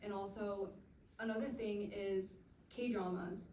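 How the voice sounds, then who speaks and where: A woman's voice: medium pitch, steady tone, takes it slowly, warm tone. A young woman student on a panel, answering casually and a bit hesitantly. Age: young adult. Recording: echoey room, distant mic, faint noise.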